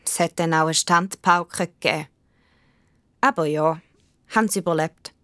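A woman's voice: low-pitched, steady tone, moderate pace, a bit bright. A young woman with a Swiss German accent playing an earnest, imaginative schoolchild who narrates an adventure in a children's radio play, musing aloud. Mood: reflective.

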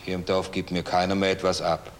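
Schauspieler als grollender, tiefer Dorfbewohner. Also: male voice, sits low, little pitch movement, fairly quick, slight background noise, lightly acted. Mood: aggrieved. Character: aggrieved, boastful village man, Hitler's self-proclaimed half-brother.

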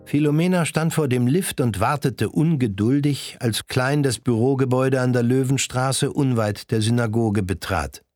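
A man's voice: medium pitch, normal speed, few pauses, a little mellow, faint music behind. A man narrating a scripted story in literary prose for a radio drama.

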